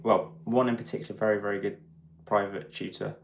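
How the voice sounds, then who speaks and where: A man's voice: on the low side, flat delivery, fairly quick, creaky, a little hiss or hum. A British man teaching guitar casually on a live stream, thinking aloud in short, informal bursts. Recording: roomy echo with guitar playing.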